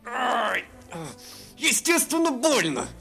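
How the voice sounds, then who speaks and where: A snarky, quick-tempered young elf, as a man reading aloud puts him on, grumbling sarcastically. His voice is high and bounces around a lot. Soft music plays beneath. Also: very animated. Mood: angry. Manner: sarcastic, complaining.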